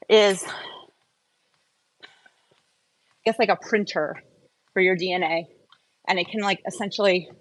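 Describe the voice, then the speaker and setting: A woman's voice: mid-range voice, dry recording, rough recording. Conversational woman explaining things casually. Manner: conversational, explains step by step with rhetorical questions. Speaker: host of a wellness audio update. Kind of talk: casual explanatory solo talk.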